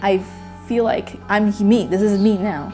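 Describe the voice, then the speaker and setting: A woman's voice: mid-range voice, unhurried, mellow, faint music behind. A nineteen-year-old woman from Myanmar, a native Burmese speaker, speaking candidly in a personal interview with hesitant restarts. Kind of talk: personal testimony.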